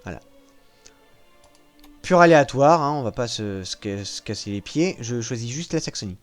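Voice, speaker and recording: A man casually walking viewers through a strategy video game, joking at his own expense and pausing often with fillers. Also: mid-range voice, expressive, soft background music. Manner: self-mocking, hesitant with frequent fillers. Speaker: gaming channel host. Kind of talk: casual gameplay walkthrough.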